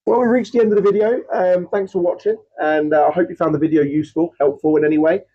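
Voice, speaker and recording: A man's voice: sits high, fast talker, breathy edge, muffled recording. Stuffy-nosed man chatting about fish. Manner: rambling, filler-heavy, self-deprecating about pronunciation. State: congested with a cold. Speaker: aquarium channel host. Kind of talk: casual chatty video talk.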